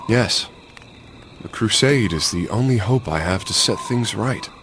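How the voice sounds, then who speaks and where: Resolute young crusader knight. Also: male voice, fairly low pitch, expressive, unhurried, fairly bright tone, a little hiss or hum. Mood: somber. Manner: serious, resolute. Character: earnest, stubborn young crusader nobleman. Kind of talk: video game cutscene acting.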